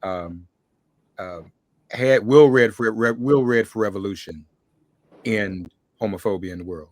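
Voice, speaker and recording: A man's voice: fairly low pitch, a little slow, no room sound. A man hosting a conversational panel interview, putting questions to his guests. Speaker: interviewer, host.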